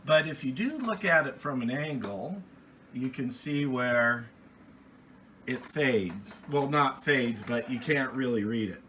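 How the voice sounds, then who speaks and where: Casting: American man for an unscripted hobby review, clear-edged bright tone, middling pitch, plain and rambling, some noise in a home office. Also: animated, takes it slowly. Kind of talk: casual unscripted product review. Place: small indoor home office radio room.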